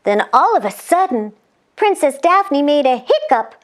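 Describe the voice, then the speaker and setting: Woman, children's storybook reader, high voice, unhurried pace, chatty with young listeners, exclamatory. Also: big pitch swings. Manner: exclaims and chuckles, addresses young listeners directly. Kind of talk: animated read-aloud for children.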